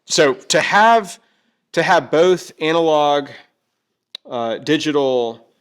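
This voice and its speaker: A man's voice: a little high, animated, slow pace, clean tone. A man hosting a product overview in a casual, chatty way, often repeating his phrases. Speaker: host of a gear review video.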